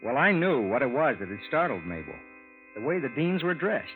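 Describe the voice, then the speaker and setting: Casting: plainspoken, puzzled American everyman, lively intonation, old radio drama, narrow tinny sound. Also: medium pitch, brisk, soft background music. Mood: earnest, recounting. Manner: plainspoken, conversational, earnest, expressive. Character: ordinary, earnest, mildly puzzled husband and landlord. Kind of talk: 1950s radio drama acting, first-person narration. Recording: thin, phone-like vintage radio recording.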